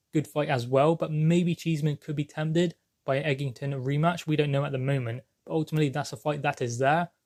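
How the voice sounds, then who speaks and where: Higher-voiced man presenting sports news. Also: a little mellow. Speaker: boxing news channel presenter. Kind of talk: news commentary to camera.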